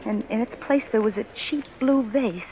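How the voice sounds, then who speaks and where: An actress playing a bewildered, frightened young wife who narrates in a stammering, breathless way, in a 1940s radio drama. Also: female voice, a little high, moderate pace, a little mellow, a little hiss or hum, close to an everyday voice, poor audio. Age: young adult. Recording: old radio broadcast with music.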